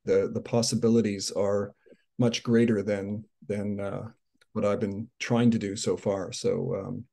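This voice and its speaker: A man introducing himself on a video call, a charity supporter who speaks hesitantly with frequent pauses and restarts. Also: on the low side, flat delivery, gravelly, mellow. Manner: hesitant, many fillers and restarts. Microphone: webcam on video call.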